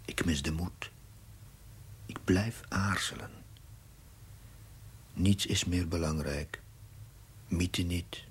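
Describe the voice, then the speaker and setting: An actor playing a troubled, self-doubting curate who narrates his diary gravely and introspectively in a husky, breathy voice. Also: sits low, takes it slowly, pauses often, exaggerated voice. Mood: despondent, hesitant. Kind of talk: brooding dramatic diary narration.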